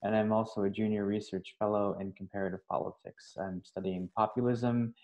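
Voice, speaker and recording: A man from Maryland, a political scientist, talking in relaxed interview answers. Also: lowish voice, a little fast. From Maryland, United States. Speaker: political scientist, junior research fellow. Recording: dull lo-fi audio.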